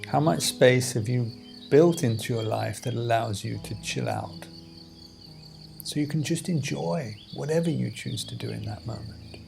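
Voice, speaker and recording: Guy doing guided meditation, slow n deliberate, bit of fry in the voice, soft music behind him. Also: medium pitch, sing-song. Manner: measured, deliberate phrasing with pauses. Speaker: meditation teacher. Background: music and outdoor insect sounds.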